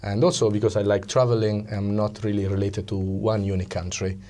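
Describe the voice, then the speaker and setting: An Italian man with an Italian accent, explaining things as an interview guest in a conversational but halting way, often pausing to search for words. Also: fairly low pitch, level tone, dark tone. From Italy. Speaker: interview guest, psychology coach.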